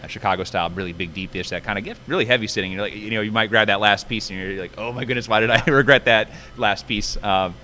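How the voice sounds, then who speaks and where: A man with a fairly low voice talks quickly and chattily, like a restaurant manager in a casual interview. His delivery rambles along in a promotional way, recorded inside a restaurant with faint background noise. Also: sharp tone.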